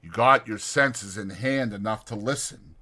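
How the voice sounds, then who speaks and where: Man playing a firm, plain-spoken ranch hand, bright-voiced. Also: lowish voice, a little slow. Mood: firm, calm. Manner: folksy, firm. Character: calm, authoritative ranch hand, fair-minded and plain-spoken.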